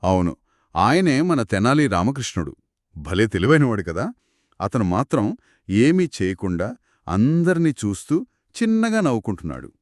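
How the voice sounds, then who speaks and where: A man telling a children's story in a conversational, expressive and explanatory way, as one of two narrators. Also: medium pitch, moderate pace, long pauses, no echo, clean studio sound. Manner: expressive, explanatory, acts out characters' quoted lines.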